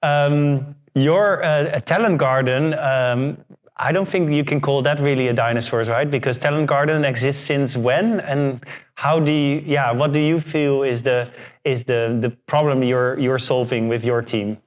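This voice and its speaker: A man moderating a panel discussion in a conversational, halting way, rephrasing his questions as he goes. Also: mid-range voice, fairly even intonation.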